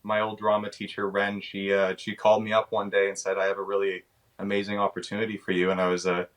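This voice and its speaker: Halting young Canadian man, lowish voice. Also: monotone. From British Columbia, Canada. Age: 20s. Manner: halting, searching for words. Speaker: actor, interview guest. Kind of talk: casual, unscripted interview answers.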